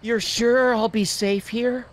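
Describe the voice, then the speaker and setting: A man's voice: very high-pitched, steady tone, slow. An actor playing a timid, nervous young American man in a video game, speaking hesitantly.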